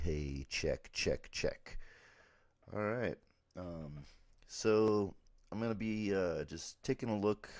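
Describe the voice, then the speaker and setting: A man with a raspy, worn throat from being ill, casually walking through gear on a live stream with lots of fillers. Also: extremely low pitch. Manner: relaxed, rambling with many fillers.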